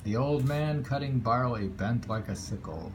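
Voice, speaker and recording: A man reading short poems aloud and commenting on them casually, in a musing, informal way with reflective pauses, over a noisy recording. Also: medium pitch, lively intonation. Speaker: poetry reader.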